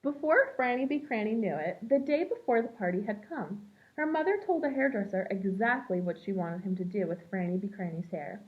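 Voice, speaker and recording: A woman reading a children's picture book aloud in an expressive storytelling manner, as a preschool teacher does for young children. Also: mid-range voice, fairly quick. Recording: dull lo-fi sound.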